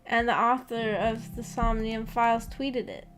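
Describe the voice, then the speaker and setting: A woman with a fairly high voice hosts a casual livestream. She rambles along in a giggly, self-deprecating way as she chats with her viewers. Soft music plays faintly behind her. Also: fairly even intonation, a little slow, low-quality recording. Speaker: VTuber streamer and host.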